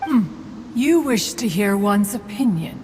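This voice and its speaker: A woman voicing a slow, stately ancient crane spirit. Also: mid-range voice, animated, long reverb tail, over loud music, lightly acted. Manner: formal, speaks of herself as "one". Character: ancient crane spirit, haughty, motherly, aloof. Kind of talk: English game voice acting.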